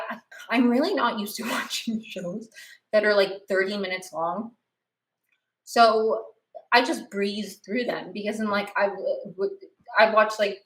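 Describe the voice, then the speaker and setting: Young woman podcaster, rambling, lots of restarts, roomy echo. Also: medium pitch. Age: 20s. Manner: rambling, animated, frequent restarts and "like". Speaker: podcast host. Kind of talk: casual chat.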